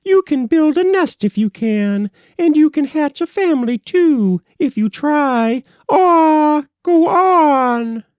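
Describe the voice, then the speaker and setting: A man voicing a noisy, quarrelsome storybook blue jay in a loud, scolding squawk. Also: very high voice, expressive, slow, hardly any pauses, no echo, pitched above the performer's own voice, full character voice.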